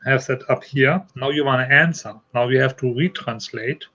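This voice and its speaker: German man, heavy accent, patient explainer, lo-fi audio, mid-pitched. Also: bright, forceful tone. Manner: explains step by step, halting non-native phrasing. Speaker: guest. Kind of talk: casual chat. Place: Iowa.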